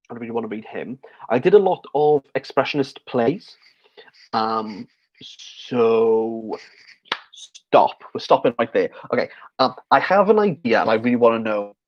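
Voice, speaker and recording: A man hosting a casual livestream Q&A about books, chatty and rambling with lots of fillers and restarts, a little tipsy. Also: mid-range voice. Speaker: book channel host. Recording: slightly dull lo-fi stream audio.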